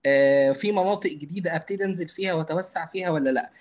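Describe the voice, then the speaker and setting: A man with an Egyptian Arabic accent teaching marketing in a live online class over a video call, talking fast with many drawn-out fillers and frequent check-ins. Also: on the high side. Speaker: marketing instructor. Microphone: computer mic on video call.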